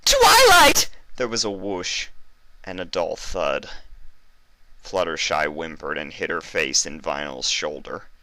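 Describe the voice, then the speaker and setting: A man narrates a story from a script. His voice is very deep yet crisp-edged and forceful, recorded dry. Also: measured pace, dry recording, low-quality recording. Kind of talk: scripted fan fiction narration.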